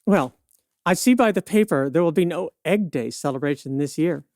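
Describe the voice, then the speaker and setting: A woman's voice: deep voice, lively intonation, normal speed, darkish tone, dry recording, clean studio sound. A woman playing a time-traveling news reporter in a radio play, speaking in a knowing, matter-of-fact, wry way. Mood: breezy.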